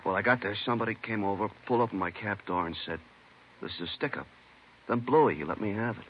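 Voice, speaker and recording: An actor playing a shaken, streetwise taxi driver who talks in a halting, colloquial, emphatic way in a 1950s radio drama. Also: male voice, lowish voice, lightly acted. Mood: wry, recounting. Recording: muffled, noisy old radio broadcast.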